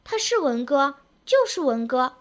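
Woman voicing a high, childlike lovesick village girl. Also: expressive, measured pace, sharp tone, heavily acted. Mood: insistent, pleading. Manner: pleading, insistent, clingy.

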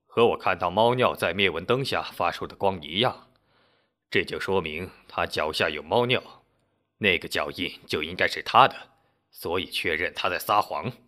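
A man acts out a smug, clever male sleuth from a narrated story. The voice drops low and walks listeners through a deduction with patient self-satisfaction. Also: animated, normal speed, bright, forceful tone. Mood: smug, explanatory.

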